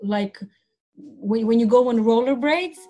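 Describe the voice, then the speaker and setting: A woman's voice: on the high side, unhurried, warm tone, muffled recording. A woman from Croatia, a guest on an interview podcast, talking casually with many fillers and restarts.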